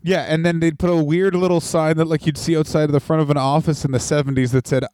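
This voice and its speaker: A man's voice: on the high side, level tone, fast, darkish tone, dry recording. A man co-hosting a casual podcast, joking wryly and swearing freely. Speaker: podcast co-host. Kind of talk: casual wrestling podcast chat.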